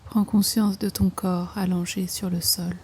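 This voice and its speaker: A woman guiding a yoga nidra relaxation in slow instructions, with hushed, breathy phrase endings and an unhurried manner. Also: fairly low pitch, level tone, a little hiss or hum. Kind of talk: guided meditation, slow soothing instruction.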